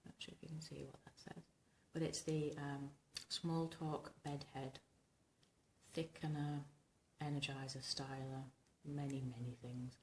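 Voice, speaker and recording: A woman speaks in an extremely deep, smoky voice, hoarse and rumbling from a sore throat. She chats informally and rambles as she gives a casual tutorial to camera. Clear background noise sits behind her in a room at home. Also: little pitch movement, a little slow, darkish tone, roomy sound. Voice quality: husky, rumbling, smoky voice from tonsillitis. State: recovering from tonsillitis, hoarse. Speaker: vintage hairstyling vlogger. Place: small domestic bedroom, indoors.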